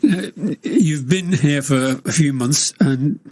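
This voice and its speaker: A man's voice: fairly high pitch, takes it slowly, a little mellow. A man hosting a local radio show, chatting and asking his guest questions in the studio. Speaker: radio presenter. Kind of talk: radio interview.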